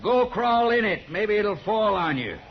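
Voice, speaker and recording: A man's voice: sits high, very animated, a little slow, full character voice. An actor playing a surly, impatient and resentful frontier man with an American Western accent in a 1950s radio drama. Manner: sour, complaining. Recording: dull 1950s radio recording, faint noise.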